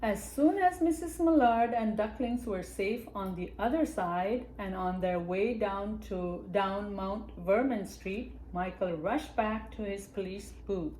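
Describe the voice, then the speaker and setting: A woman reads a children's picture book aloud in an unhurried, storytime manner, pausing now and then to address young listeners. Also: medium pitch, warm tone, a little hiss or hum, rough recording. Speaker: library storytime reader.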